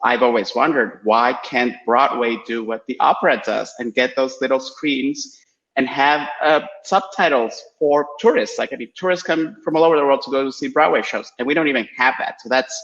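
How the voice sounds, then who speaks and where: A man's voice: mid-range voice, moderate pace, a bit bright. A man theatre critic on a panel whose first language is Spanish, speaking frankly and with strong opinions over dull, reverberant video call audio. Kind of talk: discussion.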